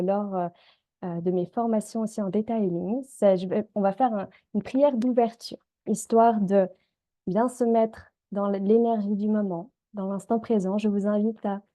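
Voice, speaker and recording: A woman, an energy healer and coach leading a calm group healing session, speaking gently with frequent hesitations. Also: mid-range voice. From Switzerland. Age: 30s. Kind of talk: live group healing session and guided prayer.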